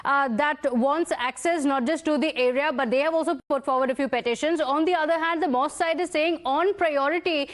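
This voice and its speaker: High-pitched Indian female news anchor. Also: a little fast, few pauses. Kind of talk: live news anchoring, questioning correspondents.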